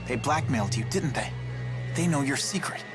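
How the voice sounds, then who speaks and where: A male actor playing an earnest teenage student in a video game, measured, gentle but firm. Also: medium pitch, animated, over music, close to an everyday voice. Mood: firm, accusing.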